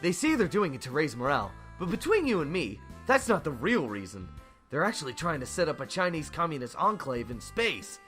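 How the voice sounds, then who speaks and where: A man voicing a cocky, delusional young mech pilot in a parody anime dub, brash and excitable. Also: on the high side, fairly quick, soft background music. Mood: conspiratorial.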